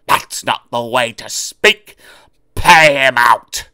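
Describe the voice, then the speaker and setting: A man's voice: medium pitch, slow, sharp tone, clear, resonant tone, dry recording, clean studio sound. A man doing grumbling rowdy freight trucks.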